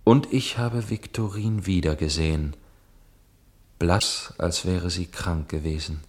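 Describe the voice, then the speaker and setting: Deep-voiced, tormented young gentleman. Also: slow. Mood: anguished, brooding. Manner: earnest, brooding, dramatic. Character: tormented young French gentleman, lovestruck suspicious narrator. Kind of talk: 1960s German radio play acting.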